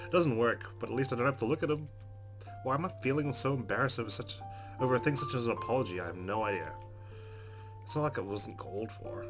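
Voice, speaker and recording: A man hosting a let's play, reading game narration expressively with casual, playful asides. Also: medium pitch. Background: game music.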